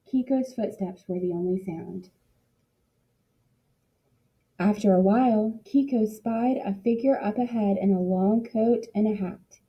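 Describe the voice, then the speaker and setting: A woman narrating a picture book for children in an expressive read-aloud style, like a teacher in class. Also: mid-range voice, a little slow, pauses often, highs cut off.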